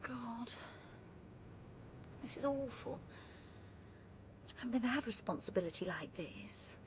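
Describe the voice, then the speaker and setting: An actress playing an earnest, conflicted young British woman in a vintage radio drama, speaking emotionally with hesitant pauses. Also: on the high side, very animated, muffled recording, noisy background. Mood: anguished.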